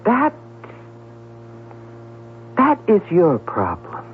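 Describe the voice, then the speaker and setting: A woman's voice: mid-range voice, wildly swooping pitch, extremely slow, exaggerated voice. An actress in a 1970s radio drama playing a cool, tipsy, menacing woman who speaks with mocking precision. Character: cool, sardonic, tipsy woman threatening murder at gunpoint. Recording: tinny, noisy old radio broadcast.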